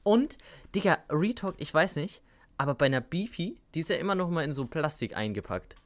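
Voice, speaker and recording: A man's voice: a little high, lively intonation, brisk. A young man co-hosting a podcast, telling joking stories in heavy youth slang on a home mic. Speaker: podcast co-host. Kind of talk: casual chat with youth slang.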